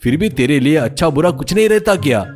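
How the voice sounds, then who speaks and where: A man's voice: a little high, expressive, brisk, darkish tone, soft background music, close to an everyday voice. A man playing an exasperated village president in a cartoon story, scolding with scornful questions. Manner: hectoring, scornful rhetorical questions.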